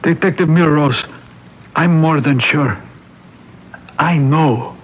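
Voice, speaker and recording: A polite, higher-voiced parish priest. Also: male voice, slow. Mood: thoughtful, troubled. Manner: polite, hesitant, gently deferential. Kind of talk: old-time radio mystery drama acting. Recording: muffled vintage radio broadcast, background hiss.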